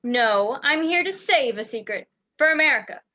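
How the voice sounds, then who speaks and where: Young woman playing a high-voiced, urgent lady spy. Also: lively intonation, moderate pace, sharp tone. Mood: tense, earnest. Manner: urgent, dramatic, pleading. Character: frightened, secretive woman spy, urgent and mysterious. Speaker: student actor in a school radio play. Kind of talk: amateur radio play acting. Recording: dull lo-fi home recording.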